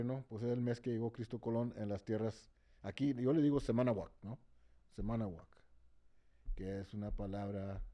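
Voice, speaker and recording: A man's voice: mid-range voice, mellow. A man speaking passionately in an interview, often repeating words as he searches for the next phrase and checking in with the listener. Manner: switches between English and Spanish. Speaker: interviewee, march organizer. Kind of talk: impassioned interview talk.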